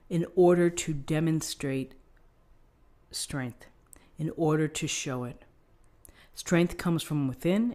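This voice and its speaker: A woman giving an unscripted tarot reading, explaining things in a gently encouraging manner. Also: sits low. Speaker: tarot reader.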